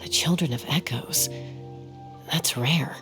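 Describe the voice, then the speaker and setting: A woman's voice: deep voice, slow pace, music in the background. An actress playing a tough, no-nonsense young gunslinger woman in a video game, blunt and commanding. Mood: puzzled, thoughtful.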